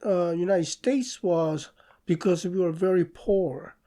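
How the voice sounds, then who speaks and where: A middle-aged man from South Korea with a Korean accent, answering interview questions in a halting way, with pauses. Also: high voice, dark tone. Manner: slow, halting, with pauses and restarts. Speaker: guest artist and professor.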